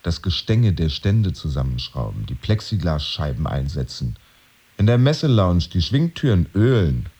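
An actor playing a brooding jobless man in an introspective radio drama monologue, measured and dry, often sinking towards a whisper. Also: sits low, sing-song, warm tone, slightly rough, heavily acted. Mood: urging. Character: brooding man who lost his job, pretending to work.

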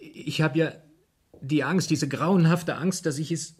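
A man's voice: fairly high pitch, unhurried, darkish tone, breathy edge. An actor in a 1970s German radio play, playing a frightened, stammering murder suspect who doubts his own mind and repeats his words. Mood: agitated, afraid.

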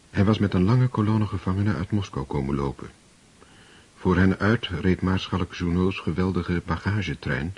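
Deep-voiced male drama narrator. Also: a little mellow, slightly rough. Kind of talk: scripted radio drama narration.

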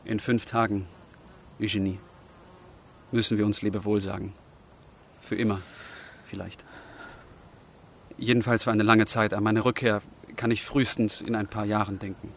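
An actor playing an earnest, tender young gentleman in love, grieving and formal, in a German radio drama. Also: fairly low pitch, a little hiss or hum. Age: young adult.

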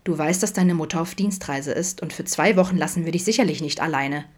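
A woman's voice: low voice, brisk, darkish tone. A woman voicing a tired, patient but exasperated father in an audiobook, coaxing and admonishing.

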